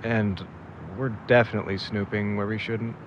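A man's voice: fairly low pitch, fairly even intonation, normal speed, lightly acted. A man acting a goofy young guy, muffled recording. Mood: casual, puzzled. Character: laid-back, slightly clueless young man, goofy sidekick. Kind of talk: audio drama acting, naturalistic. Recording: muffled, loud background noise.

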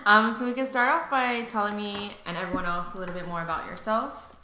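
A woman's voice: mid-range voice, steady tone, fairly quick. A young woman hosting a casual podcast interview, upbeat and friendly as she asks questions. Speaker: podcast host. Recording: echoey room, faint noise.

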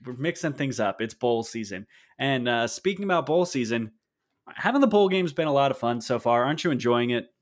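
A man's voice: medium pitch, animated, brisk. A man hosting a casual sports podcast, opinionated and wry, talking with plenty of fillers. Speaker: podcast host.

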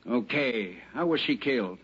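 An actor in an old-time radio drama playing a skeptical, needling police officer who questions with mocking disbelief. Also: male voice, mid-range voice, animated, moderate pace, a bit bright, slightly rough. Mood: sarcastic grilling. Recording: dull, band-limited vintage radio recording.

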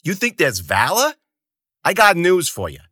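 A man doing a loud, bullying camp boss. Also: a little high, huge pitch swings, unhurried, husky, dry recording, studio quality, voice pushed up, exaggerated voice. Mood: yelling angrily. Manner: yelling, profane, domineering.

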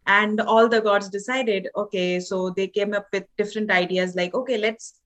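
A woman from India with an Indian accent, a holistic doctor and author in her thirties, answering interview questions with many pauses and restarts. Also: medium pitch, steady tone. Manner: hesitant, many fillers and restarts. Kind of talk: podcast interview guest.

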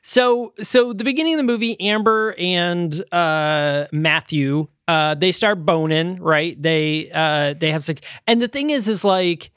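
Rambling higher-voiced man, dry sound. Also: a little slow, few pauses, a bit bright, clear, resonant tone, dry recording. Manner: rambling, many restarts and fillers, swears freely. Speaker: podcast co-host. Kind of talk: casual movie review chat.

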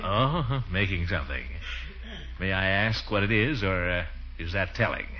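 An actor playing a pompous, suspicious middle-aged uncle in a 1940s radio comedy, probing with mock politeness. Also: male voice, sits low, lively intonation, normal speed. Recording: muffled, noisy 1940s radio recording.

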